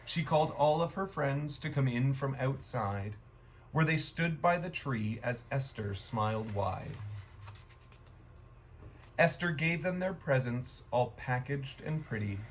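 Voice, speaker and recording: A man reading a rhyming children's story aloud in a gentle, expressive storytime manner. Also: medium pitch, takes it slowly, slight background noise, poor audio.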